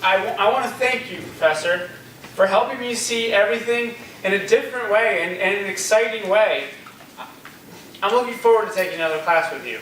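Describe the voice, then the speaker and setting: A man's voice: high voice, brisk, a bit bright. A man playing an earnest, easygoing student newcomer in a staged play reading, casual and a little hesitant. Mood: earnest, appreciative. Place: echoey room, staged reading. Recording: very echoey room, far off, faint noise.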